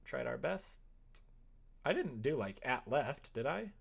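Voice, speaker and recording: A livestreamer with a noticeably creaky voice at a medium-low pitch talks casually while working on a game. The delivery rambles between muttered thinking aloud and chatty replies to viewers, with a self-deprecating streak. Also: very animated, takes it slowly.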